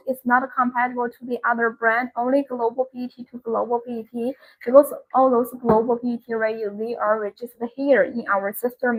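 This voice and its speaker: High-voiced woman presenting products live. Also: poor audio. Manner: repetitive, instructional presenting. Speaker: live-stream product seller. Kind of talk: live-stream sales demonstration.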